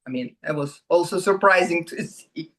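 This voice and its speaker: Russian accent lady, bit on the low side, chatting in an interview like an actress telling set stories. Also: moderate pace, a bit bright. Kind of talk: casual conversational interview.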